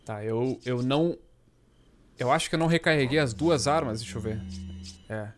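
A man's voice: mid-range voice, moderate pace, soft background music. A man, giving casual, slangy, sweary live gameplay commentary as a streamer. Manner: slangy, sweary, excitable banter. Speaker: game streamer. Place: small indoor room.

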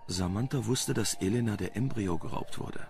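A man's voice: on the low side, moderate pace, darkish tone, close to an everyday voice. An actor playing a dry, wry, articulate male journalist in a crime radio drama. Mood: matter-of-fact. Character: dry, well-informed male journalist named Sam on a case.

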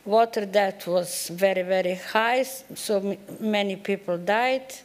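Slow, deliberate older woman lecturing. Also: mid-range voice. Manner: measured, deliberate, with frequent hesitations. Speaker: keynote speaker. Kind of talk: conference presentation. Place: large conference hall, at a lectern. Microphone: lectern microphone.

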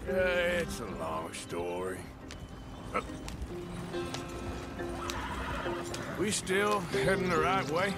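An actor playing a blunt, teasing outlaw with an American western drawl in a cinematic game. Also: male voice, high-pitched, big pitch swings, slow, over loud music, heavily acted. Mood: curt, casual. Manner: blunt, sardonic, folksy. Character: gruff, teasing, rough-edged male outlaw wagon driver. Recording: noisy outdoor wagon and horse ambience.

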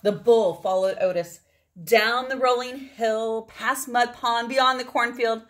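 A woman's voice: fairly high pitch, fairly even intonation. Woman reading a picture book aloud expressively. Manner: dramatic phrasing with drawn-out words for emphasis. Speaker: storybook reader.

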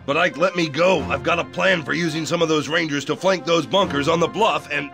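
An actor playing a brash, impatient American general, pleading and cocky in a game cutscene. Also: male voice, a little high, a little fast, creaky. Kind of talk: video game cutscene acting. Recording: over background music.

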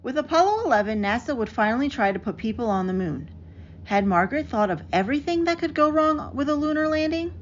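A woman reads a children's picture book aloud in an expressive, carefully enunciated storytelling manner. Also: high voice, fast. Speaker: storybook reader. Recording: dull lo-fi audio with clear background noise.

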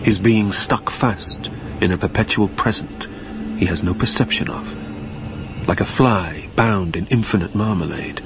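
A man's voice: low-pitched, unhurried, over music. A man playing a wistful, haunted dead man, narrating a radio drama in a literary, brooding way. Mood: resigned. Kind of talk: dramatic first-person scripted narration.

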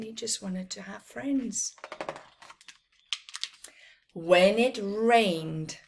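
A middle-aged woman reading a children's storybook aloud with expressive, dramatic phrasing and emphasised words. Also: on the low side, vocal fry. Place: small plain room indoors.

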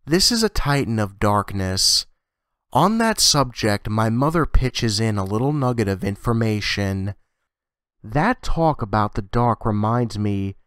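A man's voice: lowish voice, fairly bright tone, clear, resonant tone, no echo, clean studio sound. A man reading a scripted first-person scary story as a horror narrator.

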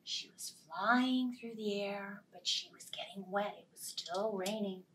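A woman in her fifties telling a story to young children as a storytime host, expressively, dropping into hushed phrases. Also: mid-range voice, measured pace, recorded from a distance, a little hiss or hum. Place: small indoor storytime set.

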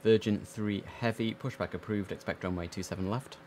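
A young man with a deep, low voice works as a game air traffic controller, switching from crisp radio calls to relaxed chatter. His audio carries a clear layer of background noise. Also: brisk. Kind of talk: gameplay commentary with radio phraseology. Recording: noisy game stream audio.

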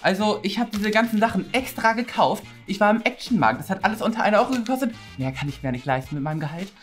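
Woman acting a silly, excitable carnival-crazy teacher. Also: mid-range voice, quick pace, soft background music, close to an everyday voice. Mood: pushy, excited. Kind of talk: improvised comedy sketch acting.

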